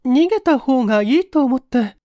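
A woman playing an anxious, high-pitched young woman suspect. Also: animated, moderate pace, dark tone, dry recording, studio quality. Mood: anxious, pleading. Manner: polite, feminine, hesitant old-fashioned phrasing.

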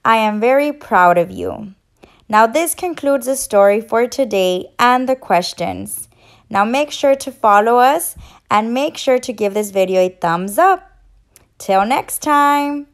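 A woman speaks to young children as a teacher and story reader. Her voice sits on the high side and her delivery is very slow. She explains and questions in an encouraging, instructional way. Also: expressive. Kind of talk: children's read-aloud and quiz.

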